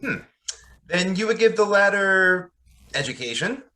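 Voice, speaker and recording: A man plays a young reverend in a radio play, with a high, bright voice. He is courteous and reserved, a polite clergyman of the Edwardian drawing room. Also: expressive, unhurried, full character voice, rough recording. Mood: taken aback. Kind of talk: old-time radio drama acting.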